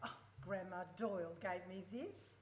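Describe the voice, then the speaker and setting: A woman's voice: medium pitch, unhurried, lightly acted. A woman playing a prickly, wry ageing farm mother who is protective of her daughter, in radio play dialogue. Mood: wry reminiscing. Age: older. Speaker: actor in a live radio play. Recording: roomy live recording with clear background noise.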